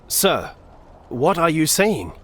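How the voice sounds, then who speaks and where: An actor playing an uneasy, deferential soldier in a video game, excitable in manner. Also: male voice, a little high, slow pace, heavily acted. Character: uneasy, deferential soldier reporting to his commander.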